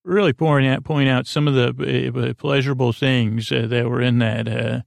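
A man hosting a bedtime podcast in a meandering, halting monologue with a goofy, silly streak. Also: medium pitch, fairly even intonation, creaky, no room sound, clean studio sound. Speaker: podcast host.

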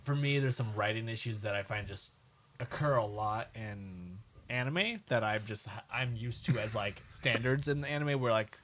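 A man's voice: fairly low pitch, moderate pace, fairly bright tone. A man co-hosting a podcast, chatting casually and analytically. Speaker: podcast co-host. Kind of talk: casual discussion.

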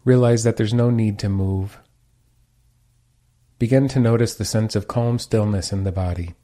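A man leading a guided meditation as its teacher, giving calm, gentle, unhurried instructions. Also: lowish voice.

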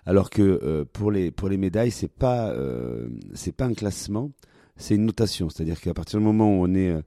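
A man with a very deep, dark, mellow voice explains the rules of a competition in an interview. The sound is dry and close, and he pauses often on fillers. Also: expressive, no room sound. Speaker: competition organizer.

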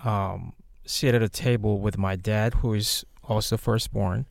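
A man with a Korean accent, a native Korean speaker, co-hosting a podcast and telling his story in a halting, soft-spoken way with many pauses and fillers. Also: on the low side, fairly even intonation, creaky, dry recording. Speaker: podcast co-host. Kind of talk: casual personal storytelling.

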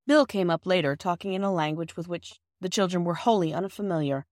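A woman's voice: lowish voice, a little fast, darkish tone, no echo, studio quality. A woman narrating a children's storybook from a script. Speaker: audiobook narrator.

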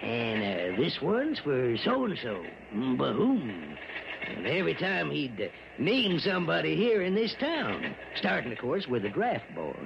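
A man's voice: fairly high pitch, wildly swooping pitch, gravelly, full character voice. An actor in an old-time radio drama playing a worried, rambling small-town mayor and newspaperman with a rural American accent. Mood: wry storytelling. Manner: rambling, folksy, emphatic drawn-out storytelling. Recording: muffled old radio recording, faint noise.

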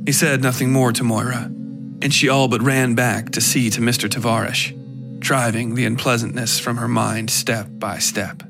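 A man giving a scripted narration of a dark horror tale for a fiction podcast. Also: medium pitch, level tone, normal speed. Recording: clear music behind the voice.

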